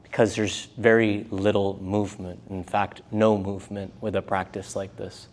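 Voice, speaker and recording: A man teaching a live yoga and meditation class, speaking gently in short, unhurried phrases with pauses between them. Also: lowish voice, flat delivery. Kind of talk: guided meditation class instruction.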